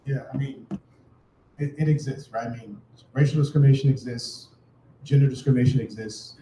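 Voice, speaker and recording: A man's voice: mid-range voice, steady tone, fairly quick, mellow, slight background noise. A man speaking casually as a panelist, filling his talk with "you know" and "right". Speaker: panelist entrepreneur. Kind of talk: panel discussion answer. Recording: echoey room.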